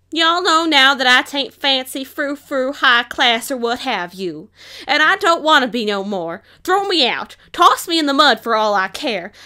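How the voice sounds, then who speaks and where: A plainspoken farm pony mare, as a woman reads her out, with a folksy country drawl in a storybook reading. She rants loud and fed up, her voice raised higher and harder than the narration, bright and forceful. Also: clear, resonant tone.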